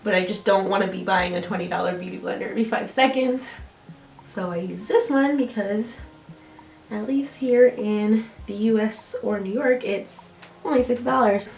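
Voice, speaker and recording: A woman's voice: mid-range voice, fairly even intonation, normal speed, creaky, a little mellow, slight background noise. A young American woman with a congested, nasal voice, chatting casually and self-deprecatingly through a makeup tutorial as a beauty vlogger. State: congested from allergies. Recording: roomy echo, distant mic, faint music. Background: music.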